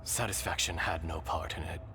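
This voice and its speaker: A man voicing an ancient, blind earth dragon in a game cutscene, slow, solemn and measured. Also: low-pitched, steady tone, noisy background, natural voice. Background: game score music.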